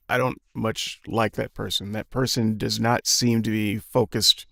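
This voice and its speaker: A man in his fifties talking casually and reflectively, pausing often with fillers and restarts. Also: lowish voice, gravelly, a bit bright, no room sound, studio quality. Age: 50s. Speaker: podcast guest. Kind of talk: casual opinion talk.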